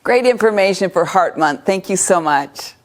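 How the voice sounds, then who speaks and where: A woman interviewing a guest on a TV health segment, asking prepared questions in clear, simple terms. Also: mid-range voice, expressive, normal speed.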